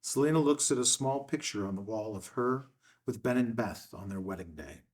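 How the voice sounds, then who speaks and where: A man's voice: mid-range voice, lively intonation, moderate pace, a little mellow. A man reading a film script's stage directions as narrator, in a matter-of-fact way.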